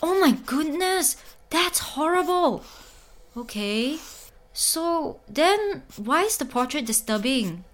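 A woman playing an inquisitive android who poses as a portrait sitter and confronts the artist in an audio drama, in a measured manner. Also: high voice, sing-song, full character voice. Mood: shocked. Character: probing female android posing as portrait sitter.

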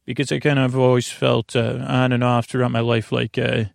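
Man, podcast host, heavy vocal fry, dry close sound, rambling sleep monologue, halting, circles back on himself. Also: medium pitch, flat delivery, dry recording. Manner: meandering, halting, keeps circling back and losing his thread. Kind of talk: rambling bedtime monologue to help listeners sleep.

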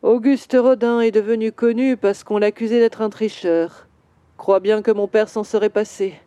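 A woman voicing a grieving male bronze statue in a children's audio story, slow and wistful. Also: medium pitch, steady tone, dark tone, clear, resonant tone, no room sound, close to an everyday voice. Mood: sorrowful.

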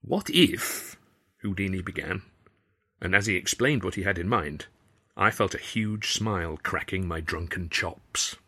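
A man narrating his own novel in a wry, measured storytelling manner. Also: deep voice, bright, forceful tone. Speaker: author and narrator. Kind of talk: scripted audiobook narration.

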